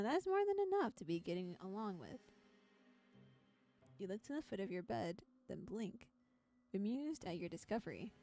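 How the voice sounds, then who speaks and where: A woman narrates a visual novel for a live stream, her mid-pitched voice moving in animated swings. She slips between storybook reading and casual, giggly chat, with soft music playing behind her. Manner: giggly, chatty, playful asides. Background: background music.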